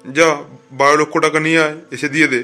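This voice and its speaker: A man's voice: fairly high pitch, fairly even intonation, fast talker, bright, forceful tone, faint music behind. A man voicing a blunt, streetwise big-brother convict in a comic cartoon. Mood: matter-of-fact. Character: gruff, streetwise older-brother convict, teasing yet sentimental.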